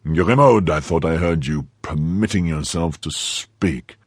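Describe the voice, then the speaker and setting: A man voicing a phlegmatic, commanding gentleman musketeer in an audiobook, with a terse, measured delivery. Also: rumbling low, slightly rough, no echo, clean studio sound, heavily acted. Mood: stern. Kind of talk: audiobook character acting.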